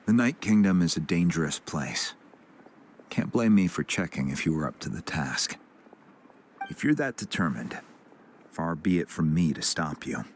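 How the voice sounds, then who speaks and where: A man playing a calm, helpful tribesman in a video game, explaining things in a measured, reassuring way. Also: low voice, slight background noise. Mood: gruff goodwill. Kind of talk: English video game voice acting. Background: music.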